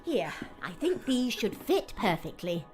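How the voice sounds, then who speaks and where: A woman playing a kindly, motherly shop woman in an audiobook, speaking in a soothing, hushed way over music. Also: fairly high pitch, sing-song, unhurried. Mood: concerned. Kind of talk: audiobook character dialogue. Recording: music under the voice.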